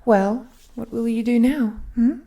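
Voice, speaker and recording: Woman as a slow, husky, ancient villainess. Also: a little high, fairly even intonation, mellow. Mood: hopeful, possessive. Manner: slow, brooding, theatrical, with whispered phrases. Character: ancient, immensely powerful female villain, possessive, wounded, regal. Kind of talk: dramatic romantic audio roleplay, addressed to the listener.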